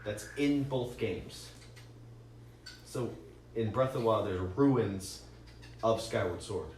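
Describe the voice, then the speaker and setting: Low-voiced geeky man in an echoey room. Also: slow, darkish tone. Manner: geeky, sweary, rambling explanations. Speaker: podcast co-host. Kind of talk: casual, enthusiastic explainer chat. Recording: roomy echo with faint noise.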